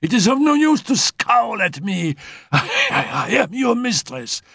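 A man's voice: sing-song, unhurried, sharp tone, slightly rough, dry recording, clean studio sound, exaggerated voice. A man voicing a frail, feverish young woman who is dying but defiant, coughing and laughing breathlessly as she shrieks. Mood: mocking gleeful. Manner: coughing fits, breathless laughter, shrill outbursts.

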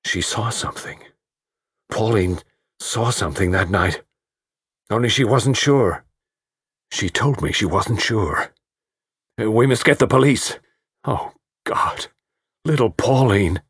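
A man's voice: fairly low pitch, lively intonation, slow pace, long pauses, breathy edge, no echo, studio quality, voice pushed up, heavily acted. A man playing a heavy, blustering host. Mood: agitated. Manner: heavy, agitated.

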